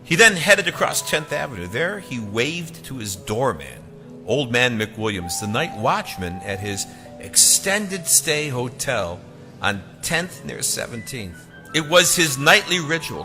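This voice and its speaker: Man narrating dramatically, very bright forceful voice. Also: medium pitch. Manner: theatrical, emphatic delivery with dramatic pauses. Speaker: author reading own novel. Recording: long reverb, faint music behind.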